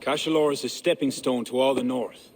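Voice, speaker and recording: Brief: actor as a proud medieval high king, grave and regal, steady mid voice, faint hum behind. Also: moderate pace, natural voice. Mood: commanding. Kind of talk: video game cutscene acting.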